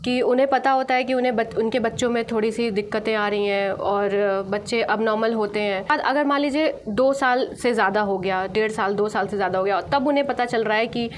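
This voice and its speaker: A young woman speaks as a radio interviewer in a fairly high voice. She is conversational and wordy, wandering through her questions. A little noise sits behind her. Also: fairly even intonation, a little fast, few pauses.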